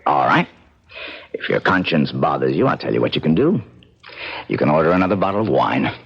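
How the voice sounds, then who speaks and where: An actor playing a bitter, world-weary, middle-aged painter in a radio mystery drama, blunt and sardonic. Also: male voice, a bass voice, very animated, a little fast, creaky, fairly bright tone, husky, full character voice. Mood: resigned. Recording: dull lo-fi old radio broadcast.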